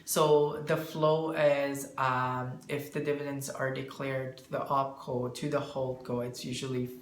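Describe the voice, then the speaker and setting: A man answering interview questions as a bookkeeper, explaining in a halting way and searching for words. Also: mid-range voice, flat delivery. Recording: echoey room, faint background noise.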